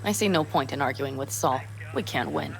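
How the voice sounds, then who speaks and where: A woman's voice: very deep voice, lively intonation, fairly quick. An actress playing a defiant, strong-willed young nomad woman in a video game, blunt and determined. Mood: resigned.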